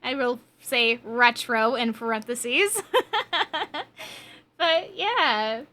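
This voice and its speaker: Bright, high-voiced young woman streamer. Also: extremely slow. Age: 20s. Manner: giggly, chatty, bubbly. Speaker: game streamer. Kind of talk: casual livestream chat with viewers.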